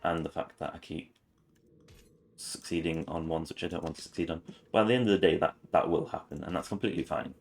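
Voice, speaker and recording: A very low-pitched man talks through a game guide. His voice is raspy this morning, and he rambles with frequent ums. His delivery is casual and unhurried. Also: faint music behind. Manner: rambling, many ums and restarts. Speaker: gaming channel host.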